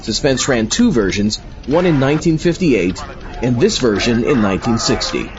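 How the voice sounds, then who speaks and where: A man's voice: medium pitch, lively intonation, normal speed, highs cut off, over music. A man hosting a podcast, giving a scripted introduction to old radio shows. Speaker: podcast host.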